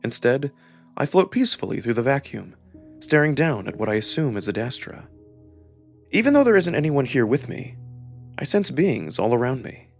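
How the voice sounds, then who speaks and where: A man narrating a first-person story dramatically, with expressive, measured phrasing. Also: mid-range voice, faint music behind. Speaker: narrator and performer of a visual novel.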